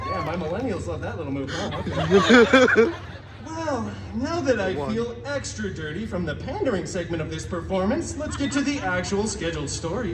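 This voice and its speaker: An actor playing a flamboyant, sarcastic masked antihero who hosts a live comedy storytime with campy, exaggerated emphasis. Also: male voice, very high voice, background noise, heavily acted. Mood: showy, sarcastic. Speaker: stage show host and storyteller. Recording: live show PA, distant, music behind.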